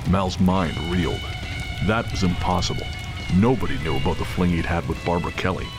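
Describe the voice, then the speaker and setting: Deep male narrator of dramatic fiction. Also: fairly quick. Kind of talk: dramatic scripted narration of a thriller story. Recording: music and rain under the voice.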